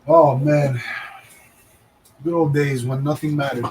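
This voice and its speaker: A man in his thirties co-hosting a casual livestream, joking and chattering fast with his friends and the chat. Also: fairly high pitch, fairly even intonation, a little mellow, a little hiss or hum, rough recording. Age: 30s. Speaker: livestream co-host.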